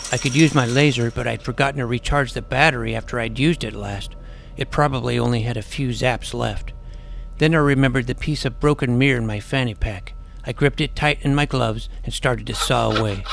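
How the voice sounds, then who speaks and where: A man playing an earnest, nerdy schoolboy superhero who narrates his own adventure in a deadpan first-person style. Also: mid-range voice, fairly quick, gravelly, a little hiss or hum, natural voice. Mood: earnest narrating. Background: music.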